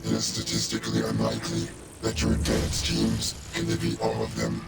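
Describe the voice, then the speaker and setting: An actor playing a cold, analytical robot intelligence officer in a video game, reporting in formal, clinical terms. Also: male voice, fairly low pitch, big pitch swings, fairly quick, vocal fry, full character voice. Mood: detached warning. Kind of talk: dramatic video game voice acting. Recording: echoey, distant, loud music behind.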